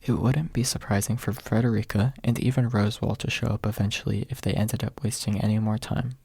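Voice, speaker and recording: A man narrating an audiobook in a soft, close ASMR reading style, with a husky, gravelly voice. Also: lowish voice, steady tone, a little fast, dry recording.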